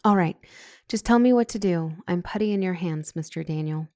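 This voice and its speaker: A woman playing a flustered, smitten doctor and couples counselor in an ASMR roleplay drama, hesitant and breathy. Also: lowish voice, brisk, darkish tone, dry recording, studio quality, lightly acted. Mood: flustered, eager.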